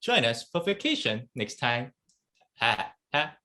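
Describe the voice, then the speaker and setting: A woman's voice: extremely low pitch, very animated, takes it slowly, sharp tone, exaggerated voice. A woman playing a smug, lovestruck, callous young partner in a play reading, with a teasing manner. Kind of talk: reader's theatre acting.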